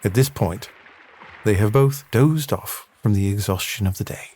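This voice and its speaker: A man's voice: lowish voice, moderate pace, noisy background. A man giving scripted, dramatic narration of an adventure story.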